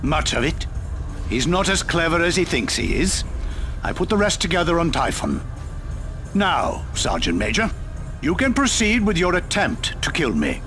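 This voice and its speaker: A cold, calculating commander. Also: male voice, on the high side, sing-song. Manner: cool, measured, ruthless. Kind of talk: video game cutscene acting. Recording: game cutscene with music behind.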